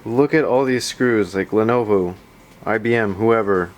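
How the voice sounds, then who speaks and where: A man's voice: lowish voice, unhurried, muffled recording. A man hosting a tech video in a casual, chatty way, with rambling, self-deprecating asides. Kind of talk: casual vlog narration.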